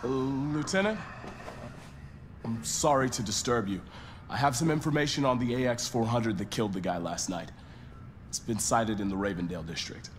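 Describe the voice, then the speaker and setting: Hesitant, mid-pitched police officer played by a man. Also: normal speed, a little hiss or hum, lightly acted. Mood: apologetic. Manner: hesitant, deferential. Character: apologetic, deferential male police officer. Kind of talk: video game acting.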